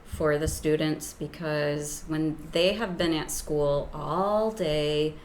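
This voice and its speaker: A soft-voiced woman teacher talks slowly and a little hesitantly, often pausing on fillers. Her voice sits fairly low, and a faint hiss of noise runs behind her. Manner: hesitant, with many ums and restarts. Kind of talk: interview guest, casual explanation.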